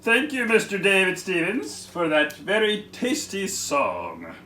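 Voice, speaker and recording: A man playing an excitable, evasive puppet dragon in a children's storytime skit, theatrical and full of feigned innocence. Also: high-pitched, unhurried, far from the mic, a little hiss or hum, exaggerated voice. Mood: gleeful. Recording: echoey room, off the mic.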